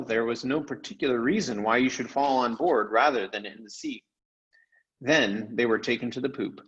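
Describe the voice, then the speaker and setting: A man narrating a children's novel aloud in an expressive storyteller's style. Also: mid-range voice, dull audio. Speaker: read-aloud storyteller, teacher.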